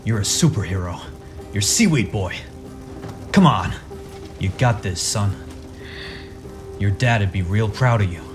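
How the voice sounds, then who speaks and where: A man's voice: on the low side, lively intonation, measured pace, long pauses. A man playing a weary but encouraging superhero mentor in a radio play. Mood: rousing. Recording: voice over music and storm effects.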